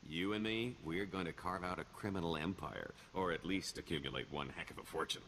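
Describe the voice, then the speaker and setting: An actor playing a roguish, glib smuggler and con artist in a video game, with a wry, theatrical delivery. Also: male voice, low-pitched, fairly quick, fairly bright tone, slight background noise. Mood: upbeat, boastful. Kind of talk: video game character dialogue.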